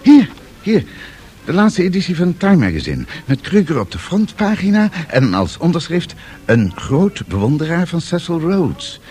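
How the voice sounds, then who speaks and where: Eager excitable finance man, swoopy intonation, bit hesitant, seventies radio drama. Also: on the high side, moderate pace. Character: excitable, impressed male financier citing press clippings. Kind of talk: 1970s Dutch radio drama acting.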